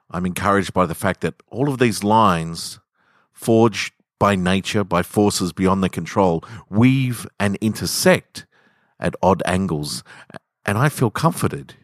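A man's voice: sits low, dry recording, clean studio sound. An Australian man hosting a story podcast, speaking in an easygoing, self-deprecating way. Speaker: podcast host and story reader. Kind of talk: story reading and casual podcast sign-off. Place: home garage.

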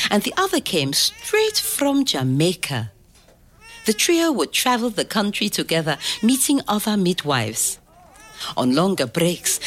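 An older woman telling a family story to a child, slow and expressive, pausing to ask gentle questions. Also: medium pitch. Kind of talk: oral history storytelling to a child.

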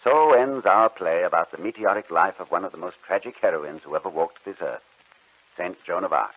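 A man's voice: sits low, brisk, husky. A man working as a radio announcer, reading a script in a polished, formal old-time broadcast style. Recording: dull old radio recording.

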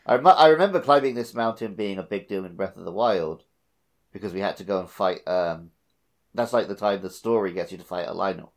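A man with a low-pitched voice talks as a podcast co-host. His delivery is casual and conversational, like chatting through a video game playthrough with a fellow player. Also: fairly quick.

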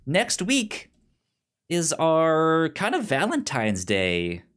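A man co-hosting a film podcast, chatting casually and haltingly as he searches for words. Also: fairly high pitch, wildly swooping pitch, a bit bright. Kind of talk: casual film discussion and recommendations.

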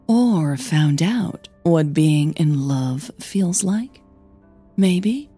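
An elegant, teasing opera diva in an audio romance, played by a woman narrator. She is measured and knowing, with very slow phrasing and a mellow, low-leaning voice. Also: expressive, breathy edge, faint music behind, heavily acted.

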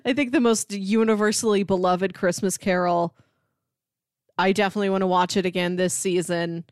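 A woman's voice: mid-range voice, steady tone, normal speed, dry recording, studio quality. A woman co-hosting a podcast, talking about film casually and enthusiastically, with chuckles as she goes.

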